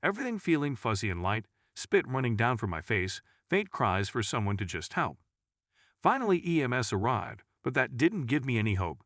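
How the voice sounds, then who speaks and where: A man's voice: medium pitch, very animated, moderate pace, a bit bright, no echo, studio quality. A man reading a scripted first-person story as a storyteller narrator.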